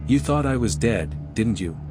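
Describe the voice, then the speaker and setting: A man playing a steady, guarded adventurer hero in a fantasy video game, speaking in a measured, serious way. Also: mid-range voice, lightly acted. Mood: matter-of-fact. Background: music.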